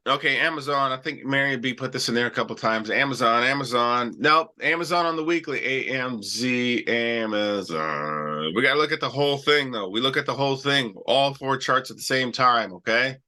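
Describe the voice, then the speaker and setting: A man with a very bright, sharp-edged voice hosts a live trading stream in a loose, chatty way. He has a cold and keeps circling back with "all right" as he talks through charts. His delivery is casual and full of restarts. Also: medium pitch, normal speed, few pauses. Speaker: stock trading show host.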